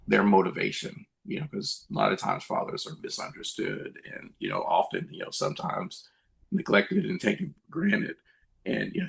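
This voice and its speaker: A man's voice: lowish voice, vocal fry, breathy edge, rough recording. A middle-aged man, a screenwriter answering questions in a candid, earnest and reflective way. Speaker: screenwriter, panel guest.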